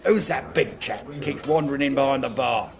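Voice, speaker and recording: An actor playing a blunt working-class cafe customer, impatient but friendly, in a British radio drama. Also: male voice, mid-range voice, fairly quick, creaky, a crowd in the background, lightly acted. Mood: blunt, matey.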